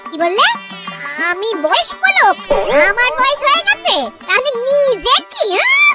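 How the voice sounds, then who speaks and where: A woman's voice: very high voice, huge pitch swings, a little slow, full character voice. A woman voicing a bossy, touchy middle-aged housewife in a cartoon, melodramatic and complaining. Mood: scolding, offended. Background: children's cartoon music.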